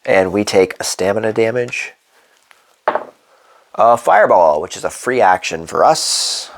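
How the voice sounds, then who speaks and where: A man with a slightly low voice, a little congested and stuffy, plays a tabletop adventure game alone. He reasons out loud through each move and dice roll in a relaxed, conversational way. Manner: thinking aloud, working through rules and dice rolls. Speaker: board game channel host. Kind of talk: solo tabletop game playthrough commentary.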